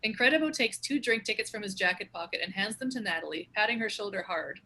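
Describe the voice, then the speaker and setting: A woman's voice: medium pitch, lively intonation, fast talker, pushed and bright, rough recording. A woman narrating the stage directions of a screenplay in a scripted read.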